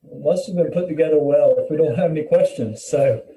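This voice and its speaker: A man chairing a public meeting informally, speaking in a polite, halting way. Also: a little high, warm tone. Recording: muffled, distant from the mic.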